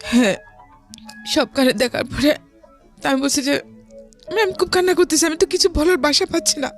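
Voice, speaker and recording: A woman's voice: high voice, moderate pace, soft background music. A woman with a Bangladeshi accent, a guest recounting her own life story in conversation.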